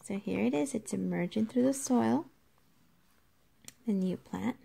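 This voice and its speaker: Lady teacher doing a kids read-aloud, really slow and careful, bit of creak in her voice. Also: on the high side, mellow. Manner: deliberate, instructive, addressing children directly. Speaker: teacher reading aloud to young children. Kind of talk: children's nonfiction read-aloud with explanations.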